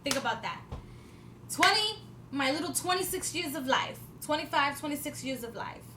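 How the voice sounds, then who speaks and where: A high-pitched woman in her twenties talks in a loose, rambling vlog, full of fillers and restarts. She swears now and then for emphasis. The room sounds echoey, with a faint hiss of noise behind her. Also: animated, normal speed. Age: 20s. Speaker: vlogger. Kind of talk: casual spiritual talk to camera.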